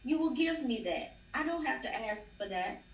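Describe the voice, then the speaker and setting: A woman's voice: sits high, expressive, moderate pace. A woman guest in a casual livestream interview, an educator who talks emphatically and repeats phrases for stress. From Nashville, Tennessee. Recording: roomy, distant, faint ambience.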